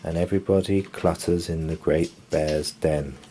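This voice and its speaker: A man reading a children's bedtime picture book aloud in a slow, sing-song storytelling manner. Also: a bass voice, fairly even intonation, dark tone, slight background noise. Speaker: story reader.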